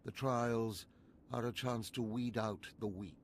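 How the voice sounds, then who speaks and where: Sith overseer, played by a man: mid-pitched, grave, commanding, formal scripted game dialogue, measured delivery, slight background noise. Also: fairly even intonation, lightly acted. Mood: grave, urgent.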